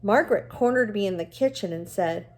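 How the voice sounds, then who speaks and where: A woman's voice: mid-range voice, a little fast, darkish tone. Woman reading a story aloud. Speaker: teacher reading a novel aloud to students. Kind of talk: read-aloud narration with teaching asides.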